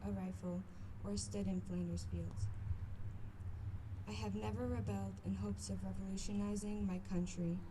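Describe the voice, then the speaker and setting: A young woman in her late teens giving a solemn poetry recitation in measured, deliberate phrases. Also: mid-range voice. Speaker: poem reader. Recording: noisy outdoor ambience.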